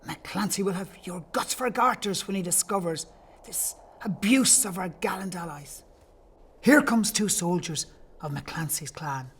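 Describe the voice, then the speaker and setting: A man's voice: sits high, a little slow, a bit bright, husky, full character voice. A man playing a kindly, solemn Irish priest in an audio play, speaking in archaic, declamatory phrases. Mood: indignant.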